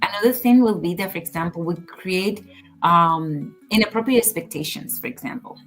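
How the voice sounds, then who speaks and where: A woman co-hosting a podcast, talking in a measured, earnest, advice-giving way in casual conversation. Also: on the low side, faint music behind, poor audio. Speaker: podcast co-host.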